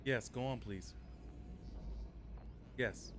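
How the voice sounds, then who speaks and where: A man's voice: medium pitch, extremely slow. A man streaming a game, speaking in short commands to a voice assistant. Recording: faint background noise.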